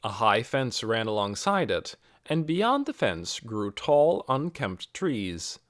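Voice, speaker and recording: Expressive man narrating a story. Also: mid-range voice, takes it slowly, no echo, clean studio sound. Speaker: audiobook narrator. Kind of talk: scripted narration of a children's novel.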